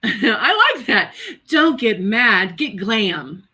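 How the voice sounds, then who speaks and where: A woman of about fifty with a slightly high, very bright voice hosts a live beauty tutorial. She chats casually and exclaims freely, speaking directly to her viewers as she works. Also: very animated, extremely slow. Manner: chatty and exclamatory, addressing viewers as girl and guys. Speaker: host of a live makeup tutorial stream. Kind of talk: casual livestream chat while demonstrating makeup and reading viewer comments.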